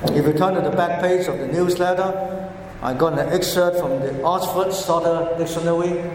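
A pastor with a Cantonese accent preaching a sermon, slowly explaining words one by one. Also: male voice, on the high side, steady tone, a little hiss or hum. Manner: slow, deliberate, explaining word by word. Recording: echoey hall with faint ambience.

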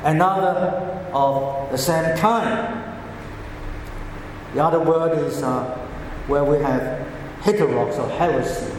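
A pastor with a Cantonese accent preaching a sermon, slowly explaining words one by one. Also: male voice, fairly high pitch, a little hiss or hum. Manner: slow, deliberate, explaining word by word. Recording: echoey hall with faint ambience.

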